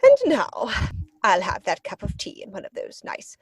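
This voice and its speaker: A woman's voice: mid-range voice, huge pitch swings, normal speed, breathy edge, dry recording, heavily acted. A woman playing an imperious older aristocratic English lady in a theatrical comedy reading, pompous and emphatic. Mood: grand, gossipy.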